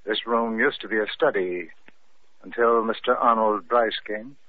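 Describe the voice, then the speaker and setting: An actor playing a prim, disapproving old butler in an old radio drama, speaking in a formal, stiff, deferential way. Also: male voice, lowish voice, moderate pace, natural voice. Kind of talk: old-time radio acting. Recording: tinny, phone-like old radio recording.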